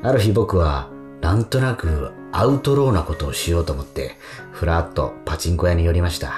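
A man acting dreamy awkward young man, very deep voice, wistful radio drama storytelling, guitar music behind. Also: normal speed, lightly acted. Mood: wistful, reflective. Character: dreamy, gently awkward young man recalling his romance. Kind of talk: radio drama monologue and dialogue. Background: plucked guitar music.

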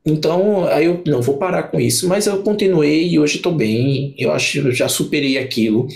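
A man's voice: on the high side, normal speed, vocal fry, darkish tone. A young man, chatting casually as a podcast guest, rambling with many restarts and fillers. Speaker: book channel creator, podcast guest.

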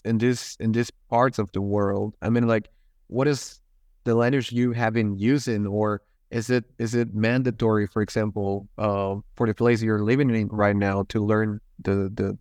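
A male podcast host asks his guest long questions that wander and repeat themselves. His voice is fairly low. The recording is dry and close, with no room sound. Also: a little mellow, no echo. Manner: hesitant, rambling questions with repeated words. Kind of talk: interview questions.

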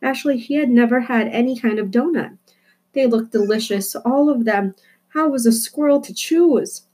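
A woman reading a children's novel aloud as an expressive storyteller. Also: on the high side, normal speed. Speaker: storybook reader. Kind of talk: expressive children's book narration.

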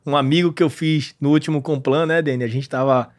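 A man's voice: on the high side, fairly even intonation, a little fast. A man from northeastern Brazil hosting a podcast in a casual, informal conversational way. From northeastern Brazil, Pernambuco. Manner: informal, interjects short confirmations. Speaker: podcast host. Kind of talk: casual interview chat.

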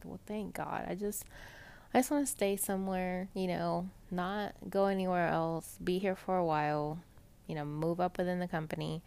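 A young woman talks in a soft, pleasant voice, rambling casually through her week with plenty of fillers. Her tone sits low and carries a gravelly fry. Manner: chatty, rambling, many fillers. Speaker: podcast host. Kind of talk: casual personal monologue.

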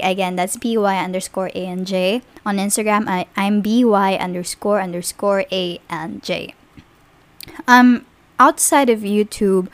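Slow-talking young Filipino woman. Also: medium pitch, dry recording. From Philippines. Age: 20s. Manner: chatty, rambling, with frequent ums and small laughs. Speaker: podcast host. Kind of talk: casual solo podcast monologue.

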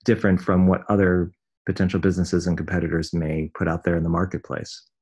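Deep-voiced male podcast host. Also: flat delivery, a little fast, darkish tone, breathy edge, muffled recording. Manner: direct, questioning. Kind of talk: interview questions.

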